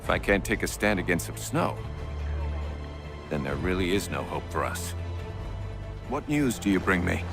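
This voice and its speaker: Grave, low-voiced army commander. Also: male voice, very animated, music in the background. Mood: resolute. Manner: grave, formal, deliberate. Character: dignified, resolute male army commander, middle-aged. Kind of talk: video game cutscene acting.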